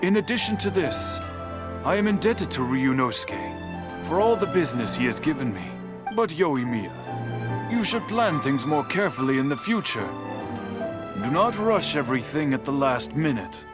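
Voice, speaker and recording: A stern but kindly old master craftsman who speaks in formal, measured sentences. His voice sits a little high and moves freely. Music plays under this game scene. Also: very animated. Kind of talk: video game voice acting. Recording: game audio with music behind.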